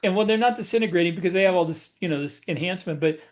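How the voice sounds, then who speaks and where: A man with a slightly high voice chats casually as a podcast co-host. He works through his stories haltingly, backing up to correct details. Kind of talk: casual panel chat.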